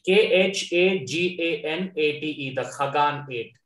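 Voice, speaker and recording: A man's voice: on the high side, a little slow, a bit bright, echoey room, poor audio. A man competing in a quiz, answering and chatting casually. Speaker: quiz contestant.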